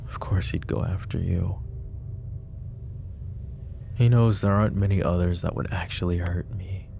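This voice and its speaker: A man playing a deep, gravelly, brooding mafia boss. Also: fairly even intonation, dark tone, soft background music. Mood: bitter, brooding. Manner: deliberate, brooding, addresses listener directly. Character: possessive, protective mafia boss, a grown man. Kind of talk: roleplay ASMR, intimate dramatic acting.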